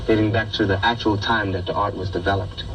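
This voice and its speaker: A man's voice: fairly low pitch, flat delivery, fast, highs cut off. A man from Puerto Rico, a kung fu instructor, speaking intensely and earnestly in a documentary interview, repeating phrases for weight. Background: loud music.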